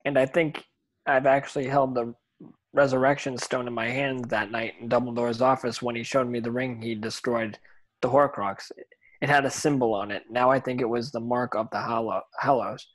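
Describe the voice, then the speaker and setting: A man playing a puzzled, hopeful teenage boy wizard with halting delivery in a scripted table read. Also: medium pitch, steady tone, lightly acted, rough recording. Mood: puzzled, wistful.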